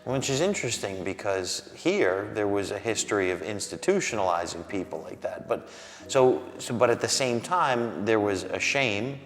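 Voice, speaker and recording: A man's voice: on the low side, lively intonation, moderate pace. A man hosting a workplace interview series, asking curious, probing follow-up questions. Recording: echoey hall with music behind.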